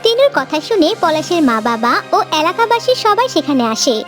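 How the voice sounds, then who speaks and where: A woman narrating a children's cartoon in a calm, plain manner. Her voice is very high, with soft music underneath. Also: moderate pace.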